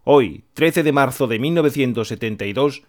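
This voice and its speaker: A man's voice: mid-range voice, normal speed, close to an everyday voice. A man playing a newsreader on a clandestine 1970s radio station, reading the news formally. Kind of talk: scripted news broadcast in a radio drama.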